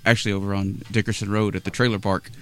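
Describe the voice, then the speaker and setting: A man's voice: fairly low pitch, level tone, fast talker. A man from Nashville, a musician guest, talking easily in a casual interview, often restarting his words. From Nashville, Tennessee. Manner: easygoing, repeats words and restarts.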